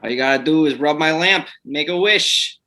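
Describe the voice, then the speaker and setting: A breezy, flippant showbiz man, played by a male reader in a screenplay table read. He is overfamiliar and joking, with a bright, sharp-edged voice. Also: fairly high pitch, normal speed.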